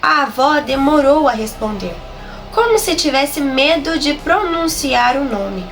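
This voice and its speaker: Woman, Brazilian accent, horror narrator, slow dramatic delivery, nasal, slightly hoarse, high pitch. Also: lively intonation, fairly bright tone, faint music behind. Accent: Brazilian Portuguese. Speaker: horror story channel host and narrator.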